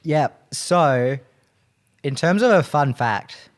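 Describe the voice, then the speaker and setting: A man's voice: fairly high pitch, animated, takes it slowly. A man chatting casually and jokingly with friends as a player on an improv comedy show, often poking fun at himself. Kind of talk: casual banter among friends.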